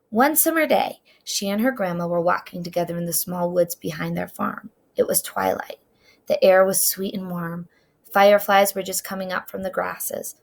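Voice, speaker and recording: Creaky-voiced woman reading a storybook. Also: lowish voice. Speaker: teacher reading a storybook aloud. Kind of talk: expressive children's read-aloud narration.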